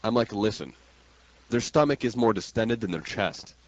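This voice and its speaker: A man's voice: lowish voice, lively intonation, normal speed, dull audio. A man hosting a casual wrestling talk show, speaking informally with joking, sarcastic exaggeration. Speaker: radio show host.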